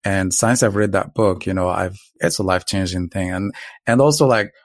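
A man's voice: low voice, normal speed, no room sound, clean studio sound. A man telling stories casually in conversation as a podcast guest, in a reflective, philosophical manner. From Morocco.

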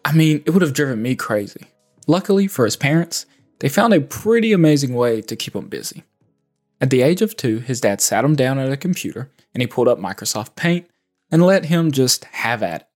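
A man hosting a podcast, reading measured, reflective narration and asking questions. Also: medium pitch, big pitch swings, darkish tone. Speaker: podcast host. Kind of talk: scripted narration and interview questions. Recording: faint music bed behind.

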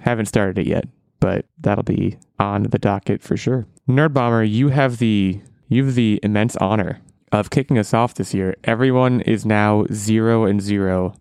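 A man hosting a podcast in casual chat, rambling and self-deprecating, his voice going hoarse. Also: on the low side, normal speed, a little mellow, no room sound, studio quality. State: losing his voice. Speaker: podcast host.

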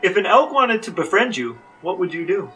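A man with a bright, forceful, slightly high voice co-hosts a casual podcast. He explains and asks questions in a conversational way, a little away from the mic with faint music behind. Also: big pitch swings, moderate pace, distant mic, low-quality recording. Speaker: podcast co-host, interviewer.

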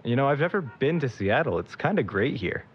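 A man's voice: mid-range voice, big pitch swings, brisk, breathy edge, lo-fi sound. A man playing an easygoing, sceptical travelling companion in an audio drama, casual and understated. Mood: relaxed, doubtful.

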